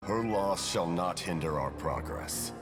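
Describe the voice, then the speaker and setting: Stern senior officer of a dark order, game cinematic: gravelly, measured, formal. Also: male voice, deep voice, animated. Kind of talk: dramatic game trailer acting. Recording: loud orchestral music behind voice.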